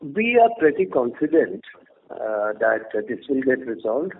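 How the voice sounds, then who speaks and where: A man giving measured, brief business answers as a company executive. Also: medium pitch, big pitch swings, creaky. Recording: narrow phone line, faint noise.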